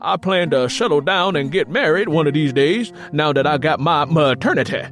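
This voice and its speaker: A man's voice: fairly high pitch, animated, moderate pace, fairly bright tone, faint music behind, voice pushed up. A man voicing a dim-witted but self-assured male character from an old radio comedy. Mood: breezy, self-assured.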